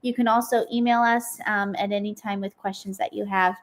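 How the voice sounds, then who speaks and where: A woman's voice: medium pitch, little pitch movement, a little fast. A woman co-hosting a live stream, upbeat and chatty with lots of fillers, her voice a little worn from a cold. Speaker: co-host of a live product demo. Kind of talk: casual live-stream chat.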